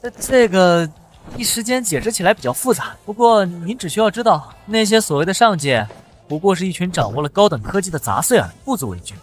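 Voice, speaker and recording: A man voicing a cocky, fearless young hero, swaggering and confident, in an animated fantasy drama. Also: high voice, fairly quick, faint music behind. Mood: confident, explaining. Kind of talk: animated xianxia drama dubbing.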